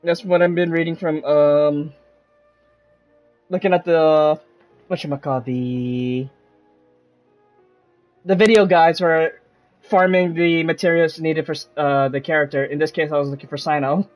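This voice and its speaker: A man's voice: on the high side, steady tone, unhurried, long pauses, highs cut off. A man giving casual gameplay commentary as a streamer, rambling and trailing off mid-thought. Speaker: game streamer. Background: game music behind the voice.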